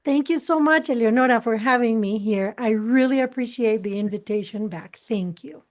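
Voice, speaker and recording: A woman from Costa Rica, a guest on a talk show, speaking in a calm, measured way with some fillers. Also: fairly high pitch. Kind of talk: interview conversation.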